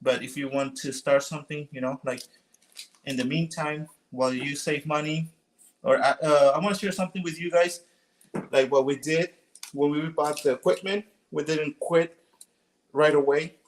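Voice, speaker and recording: A man's voice: a little high, normal speed. A young man from Mexico with a Mexican Spanish accent, chatting casually and rambling a little about running a small decal business. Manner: relaxed and rambling, many fillers and restarts. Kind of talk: casual tutorial chat.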